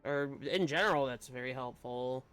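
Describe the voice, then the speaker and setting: A man's voice: medium pitch, moderate pace, a bit bright, smooth. A man giving casual live commentary as he streams a game playthrough.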